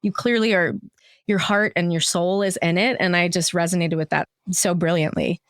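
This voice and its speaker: A woman's voice: on the low side, steady tone, moderate pace, no room sound, clean studio sound. A woman hosting a podcast, chatting casually and informally in an effusive way. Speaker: podcast host. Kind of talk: casual warm interview chat.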